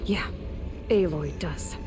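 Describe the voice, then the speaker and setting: A woman's voice: low voice, slow, heavy background noise. A woman playing a determined, defiant young hero in a video game, speaking naturally with dry sarcasm. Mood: stern, probing. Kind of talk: video game cutscene acting. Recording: music behind the voice.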